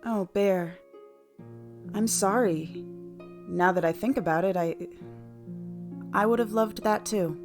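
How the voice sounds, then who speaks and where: A woman playing an earnest, regretful career woman in a radio play, with an emotive, halting delivery. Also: mid-range voice, over music.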